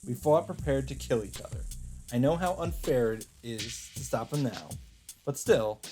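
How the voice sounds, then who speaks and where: A man's voice: medium pitch, expressive, normal speed, mellow. A man hosting a let's play, reading game narration aloud with casual asides. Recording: game music faintly behind voice. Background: faint game music.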